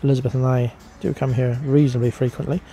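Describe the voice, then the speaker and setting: Relaxed, mellow-voiced man reviewing. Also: medium pitch, quick pace, creaky, soft background music. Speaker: vlogger, restaurant reviewer. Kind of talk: casual voice-over review narration.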